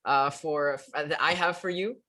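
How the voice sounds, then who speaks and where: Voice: medium pitch, moderate pace, sharp tone. An interview host who talks a lot, stumbling and repeating words as he mixes English and Tagalog. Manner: talkative, stammers and repeats words.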